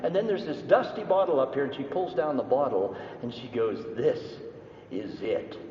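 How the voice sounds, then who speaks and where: A man's voice: mid-range voice, normal speed, warm tone, slight background noise. Canadian man, playful speaker in echoey hall. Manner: playful, teasing audience interaction. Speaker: business speaker. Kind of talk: live motivational talk. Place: large hall at an expo. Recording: echoey hall, distant mic, dull sound.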